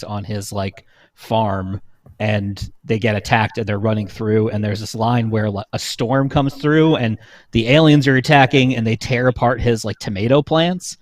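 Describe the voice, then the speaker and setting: Chatty man, swearing casually. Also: on the low side. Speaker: podcast panelist. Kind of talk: casual enthusiastic chat about voice actors.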